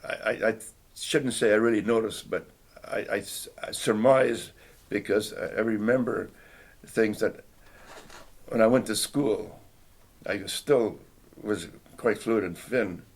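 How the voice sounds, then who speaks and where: A man's voice: lowish voice, a little slow. An older man whose first language was Finnish, recalling his childhood in a hesitant, reflective oral history interview. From Canada. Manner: hesitant, reflective, frequent restarts and throat clearing.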